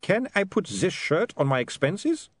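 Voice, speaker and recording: A man's voice: high-pitched, sing-song, measured pace, husky, no echo, voice pushed up, full character voice. A man voicing a cheerful, unflappable male vampire who talks in a breezy, teasing way, in a dry, close recording. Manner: breezy, teasing, lightly sardonic.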